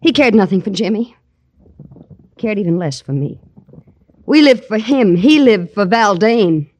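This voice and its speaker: A polite but bitter ex-wife, mannered, medium pitch, old radio crime show. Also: female voice, expressive, unhurried, a little mellow. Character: bitter, genteel divorced mother, coolly polite. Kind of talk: 1940s-50s radio drama acting.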